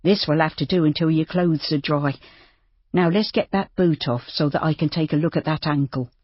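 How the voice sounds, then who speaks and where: A reader voicing a bustling, kindly farmer's wife who is motherly and brisk. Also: medium pitch, slightly rough, no room sound, dull audio, lightly acted.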